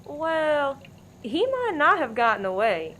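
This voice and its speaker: A woman acts a tough diner gal in a farcical radio drama. She is blunt and commanding in a fairly high voice, with audible noise behind her. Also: sing-song, slow, fairly bright tone, exaggerated voice. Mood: matter-of-fact. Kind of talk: comic stage radio play acting.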